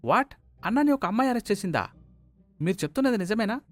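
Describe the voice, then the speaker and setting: A man voicing a hot-headed, vengeful gangster in an animated moral story. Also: high-pitched, fast, faint music behind, voice pushed up. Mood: outraged, vengeful.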